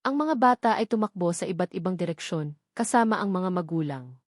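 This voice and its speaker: A woman's voice: on the low side, lively intonation, a little fast, no room sound, clean studio sound. A woman reading scripted, dramatic narration of a romance story as a storyteller.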